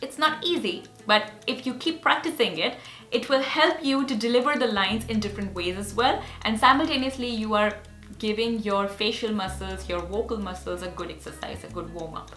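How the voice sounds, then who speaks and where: Bright, upbeat Hindi-accented woman. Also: medium pitch, moderate pace. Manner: upbeat, earnest explaining. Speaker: actor and speech coach. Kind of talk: casual teaching vlog. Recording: echoey room with faint music.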